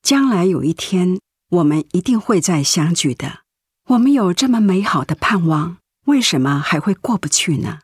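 Husky, gentle ailing mother. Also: lowish voice, very animated, normal speed, no echo, clean studio sound. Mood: consoling. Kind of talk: Mandarin radio drama acting.

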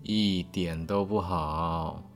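A man's voice: low voice, fairly even intonation, very slow, faint music behind, exaggerated voice. A man with a Taiwanese Mandarin accent playing a whiny, flustered young dorm resident in a podcast radio drama. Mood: frustrated, hopeful.

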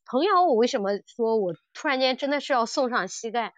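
A woman's voice: on the high side, animated, fairly quick, no room sound, muffled recording. A woman co-hosting a podcast, chatting casually and enthusiastically about audio dramas as a fan. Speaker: podcast co-host.

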